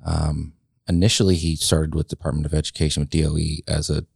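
A man in his late thirties, a guest in a casual interview, speaking reflectively and haltingly with many pauses and restarts. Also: extremely low pitch, flat delivery, vocal fry, darkish tone, husky, dry recording, clean studio sound. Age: late 30s.

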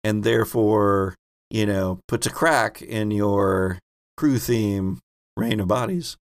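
A man's voice: on the low side, slow. A man speaking casually as game master, guiding players through their choices in a tabletop game.

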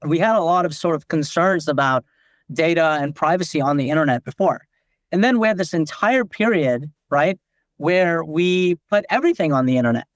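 A man from Nepal speaks as a guest on a business podcast, walking through his ideas with frequent "like" fillers. His voice sits a bit high. The sound is dry and close, with no room echo. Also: lively intonation, moderate pace. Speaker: company founder and CEO, guest.

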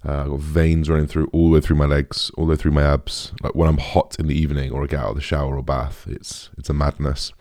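A young British man with a deep, raspy voice, chatting casually as a podcast host in a rambling, informal way. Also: level tone, fast, few pauses, a little mellow, no room sound, studio quality. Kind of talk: casual solo podcast chat.

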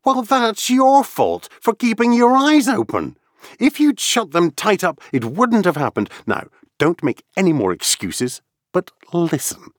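A bossy little girl from a storybook, as acted out by a man, chatters and scolds with mock sternness. The pitch is lifted high and swings about with great animation, in a dry, close recording. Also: huge pitch swings, a little slow, no room sound, exaggerated voice. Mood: mock-stern scolding.

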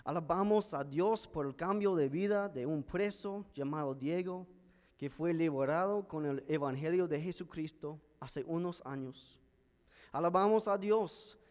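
A male pastor whose first language is English, preaching a sermon in a careful, measured way with an American accent. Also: fairly high pitch, a little mellow. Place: church sanctuary. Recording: church hall with long reverb.

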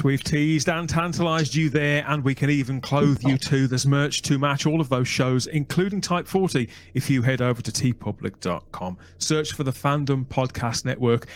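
A man's voice: mid-range voice, moderate pace, hardly any pauses. A man hosting a podcast, interviewing guests conversationally in long, wordy questions with repeated phrases. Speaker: podcast host.

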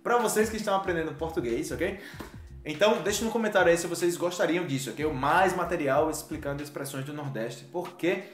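A man hosting a casual language lesson, chatty and quick, checking in with "ok?" all the time. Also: fairly high pitch, roomy sound, faint music behind. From Brazil. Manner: quick, chatty, says "ok?" often. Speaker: language teacher host. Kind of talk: casual teaching chat.

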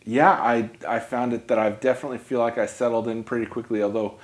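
A man's voice: mid-range voice, level tone, quick pace. Chatty man hosting a podcast. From New York. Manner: chatty, joking asides. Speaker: podcast host. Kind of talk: conversational interview.

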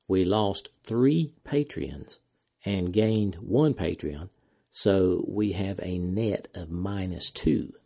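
Low, gravelly man with a cracking voice. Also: dark tone. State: slight cold, voice cracking. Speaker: podcast host. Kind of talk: scripted podcast announcements with casual asides.

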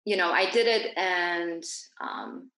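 Woman podcast guest, lowish but bright voice, talks reflectively, stops and rephrases a lot, roomy echo. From born in Iran, grew up in Dubai. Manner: halting, frequent pauses and self-corrections. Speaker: podcast guest, naturopathic doctor. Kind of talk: reflective interview talk. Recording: echoey room.

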